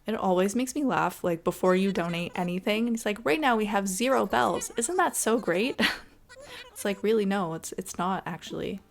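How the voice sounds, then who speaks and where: A woman's voice: mid-range voice, normal speed. A woman giving casual, chatty gameplay commentary in an informal way. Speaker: gaming video host.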